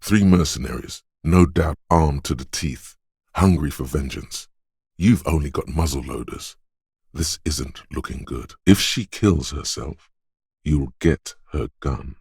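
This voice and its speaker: A man voicing a detective's gun-obsessed inner voice in a video game, speaking in a measured, deliberate, weighty way. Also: darkish tone, no echo, studio quality, full character voice. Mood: tense, urging. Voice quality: very deep, husky.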